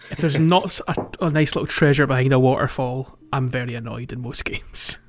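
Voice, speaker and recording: A man co-hosting a podcast, chatting casually about video games in a halting, rambling way. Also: mid-range voice. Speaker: podcast co-host. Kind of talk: casual video game playalong chat.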